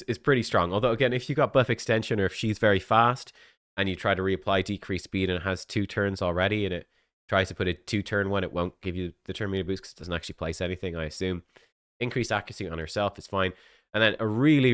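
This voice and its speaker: Man, sick and sniffly, casual game commentary, rambling fillers, lowish voice, dry close mic. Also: a bit bright, no room sound. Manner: chatty, full of fillers and restarts. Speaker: gaming content creator.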